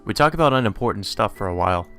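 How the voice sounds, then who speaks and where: A man with a fairly low voice reads a visual novel aloud as a let's player, adding the odd aside. Game music plays softly behind him. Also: expressive, rapid-fire, clean tone.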